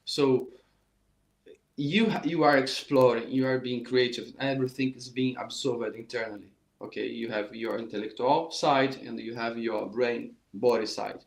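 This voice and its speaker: A man's voice: mid-range voice, fairly even intonation, normal speed, gravelly. Brazilian man teaching in a roomy echo. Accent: Brazilian Portuguese. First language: Portuguese. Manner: earnest, repetitive, frequent restarts. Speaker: saxophone teacher. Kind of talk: informal online music lesson.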